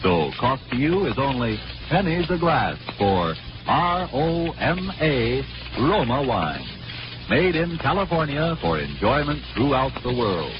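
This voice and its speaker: Old time radio commercial guy, very lively pitch swings, polished pitchman, muffled recording w music. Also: a little slow. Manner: polished, declamatory salesmanship. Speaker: commercial announcer. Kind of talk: 1940s radio advertisement, scripted.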